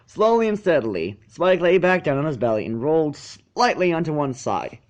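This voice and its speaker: Animated male story narrator, lively pitch. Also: moderate pace, highs cut off. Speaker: fan fiction narrator.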